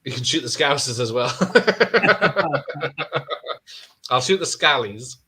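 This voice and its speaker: A man's voice: a little high, expressive, slow pace, pushed and bright, husky. A man co-hosting a film watch party, chatting casually, teasing the others and laughing often. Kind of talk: casual chat.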